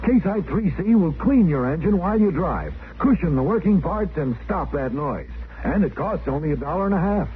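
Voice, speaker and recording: A man's voice: expressive, normal speed, hardly any pauses, close to an everyday voice. An American actor playing a polite, eager service station salesman in a 1950s radio commercial. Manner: upbeat, emphatic pitchman. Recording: muffled, hissy vintage radio recording.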